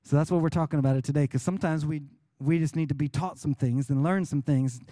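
A man's voice: fairly high pitch, fast talker, dark tone, no room sound. A male pastor preaching a sermon in an earnest, explanatory way. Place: church.

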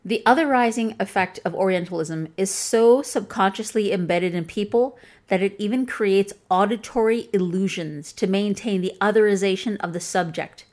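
A woman with a plain, flat Chicago accent delivers scripted commentary. Her tone is wry and pointed, and she lets the dry humor sit inside an even, mid-pitched voice. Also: normal speed. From Chicago, USA. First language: English. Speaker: video essayist.

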